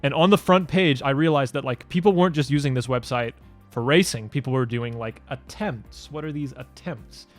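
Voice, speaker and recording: Young male creator, twenties, mid-range voice, relaxed rambling delivery, light music bed. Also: medium pitch, normal speed. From Denmark. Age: 20s. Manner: loose and rambling, many "like" fillers and restarts. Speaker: YouTube creator answering fan questions. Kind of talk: casual Q&A vlog.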